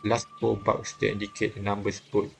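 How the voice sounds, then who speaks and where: A man reading and translating a visual novel aloud, haltingly, often correcting himself and repeating phrases. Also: lowish voice, monotone, vocal fry, slight background noise. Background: game music.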